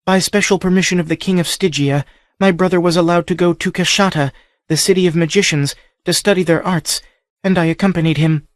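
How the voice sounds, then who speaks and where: A man's voice: high voice, steady tone, moderate pace, no room sound, clean studio sound, pitched above the performer's own voice, natural voice. A man reading an audiobook, voicing a desperate young noblewoman held captive, pleading in a breathless, urgent way. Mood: hurried, grieving. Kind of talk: audiobook character voice.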